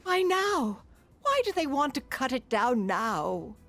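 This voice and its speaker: Gentle, slow-talking old wife. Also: female voice, high voice, big pitch swings, heavily acted. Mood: distressed. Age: older. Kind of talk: video game voice acting.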